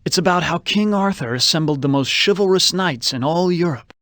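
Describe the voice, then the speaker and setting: A man's voice: on the high side, fairly quick, fairly bright tone, no echo, close to an everyday voice. Suave, brooding actor as a game con man, dry recording. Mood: reflective, musing. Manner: theatrical, musing aloud. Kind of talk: video game character monologue.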